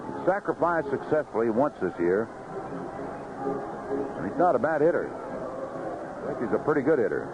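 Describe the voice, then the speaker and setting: A man's voice: medium pitch, sing-song, normal speed, long pauses. A man calling live baseball play-by-play in clipped, conversational phrases on a vintage radio broadcast. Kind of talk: live play-by-play sports commentary. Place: outdoor ballpark broadcast booth. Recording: noisy muffled vintage 1970s radio broadcast.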